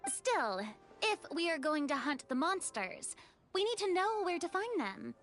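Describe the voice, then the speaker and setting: Curious young hunter. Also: female voice, very high-pitched, very animated, measured pace, fairly bright tone, soft background music, exaggerated voice. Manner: curious, direct questions. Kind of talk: game voice acting.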